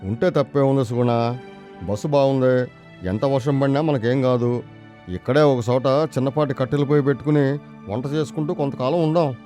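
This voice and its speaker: A man playing a calm, practical village father in a moral story. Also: medium pitch, normal speed, soft background music, lightly acted. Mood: reassuring. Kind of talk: moral story character dialogue.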